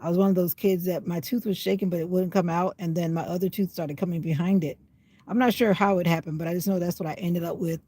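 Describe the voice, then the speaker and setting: An older woman with a slightly raspy voice rambles casually on a livestream, sitting in her parked car while it rains. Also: lowish voice, fairly even intonation, fast, dark tone. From USA. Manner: rambling, chatty, talking to herself. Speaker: vlogger. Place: inside a parked car in the rain.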